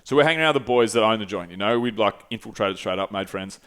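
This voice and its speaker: A man's voice: on the low side, fast talker, clear, resonant tone. Swearing man telling a story. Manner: animated, swearing, gesturing. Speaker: podcast host. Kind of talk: casual storytelling. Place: small podcast studio. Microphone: headset with desk mic.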